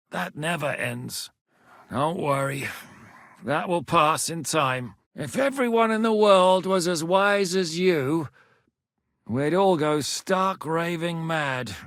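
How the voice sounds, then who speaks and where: A blunt, battle-hardened mercenary commander for a video game, middle-aged and coarse-spoken, with a voice sitting a little higher than most men's. He talks bluntly with a dry wit. The recording is close and dry, with no room sound. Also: gravelly, a bit bright, no echo, heavily acted. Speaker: military commander. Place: studio booth. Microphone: close studio mic.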